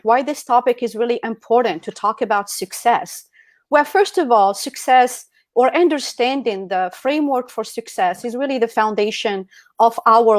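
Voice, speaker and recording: A woman's voice: a little high, no echo. A middle-aged woman from Algeria speaking as a guest presenter in a motivational presentation. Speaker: guest speaker at an online e-commerce summit. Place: indoors on an online video call.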